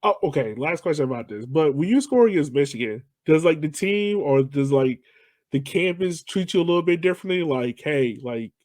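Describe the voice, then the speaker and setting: Mellow American man hosting a podcast. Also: on the high side, animated, brisk, no room sound. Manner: joking, informal, teasing. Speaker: podcast host, interviewer. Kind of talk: casual conversational interview.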